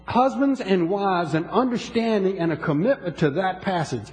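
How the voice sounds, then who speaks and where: A man's voice: sits high, animated, normal speed, darkish tone, in a large hall. A man preaching a sermon to his congregation in a church, emphatic and repeating his words as he talks to them. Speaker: pastor.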